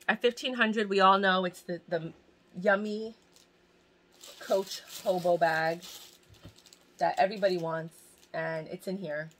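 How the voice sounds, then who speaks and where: A bright, somewhat low-pitched woman in her forties talks to a desk camera in a small, cluttered home room. She rambles and restarts, gushing over each item, and she is in pain. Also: a little hiss or hum. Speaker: fashion vlogger hosting a giveaway. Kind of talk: casual chat to camera. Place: small home room crowded with bags and boxes, indoors. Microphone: camera at desk distance.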